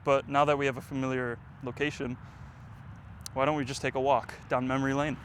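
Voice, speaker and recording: A man's voice: mid-range voice, level tone, a little fast, fairly bright tone, slight background noise. A man in his twenties vlogging outdoors, rambling and reflective, with lots of fillers. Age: 20s. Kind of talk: casual reflective vlog. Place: outdoors, windy.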